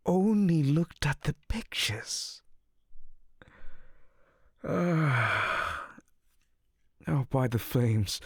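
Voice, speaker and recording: Male VA as a sarcastic incubus demon for ASMR audio, slow and gravelly, dry close mic. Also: medium pitch, dry recording. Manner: theatrical, sarcastic and exasperated. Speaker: ASMR roleplay voice actor.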